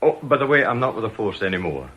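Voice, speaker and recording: An older actor playing a sardonic retired police detective in a radio drama, with a jovially menacing, mocking manner. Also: male voice, fairly low pitch, sing-song, quick pace, husky, muffled recording. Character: sardonic retired police detective, now company security officer.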